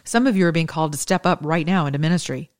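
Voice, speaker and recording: A woman with a low, deep voice delivers a sermon as a regular show host. She talks in a loose, joking, conversational way and climbs into fervent, emphatic preaching. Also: fast talker. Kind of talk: impassioned sermon.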